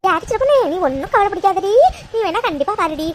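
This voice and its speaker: A woman plays a timid village gossip who keeps urging her friends to avoid trouble. Her voice is very high and quick. A clear hum of ambient noise sits behind her. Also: expressive. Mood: fretful. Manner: fussy, cautious.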